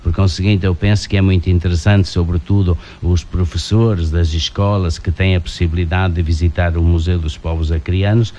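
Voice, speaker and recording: A man from Portugal with a European Portuguese accent, a guest writer speaking haltingly in a studio interview, full of fillers and restarts. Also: sits low, steady tone, mellow, a little hiss or hum. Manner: hesitant, many fillers and restarts. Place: radio studio.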